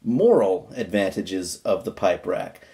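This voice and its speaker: A man's voice: low-pitched, very animated, slow pace, creaky, warm tone. A man giving an amateur dramatic reading of an old book, stressing words emphatically and adding wry asides.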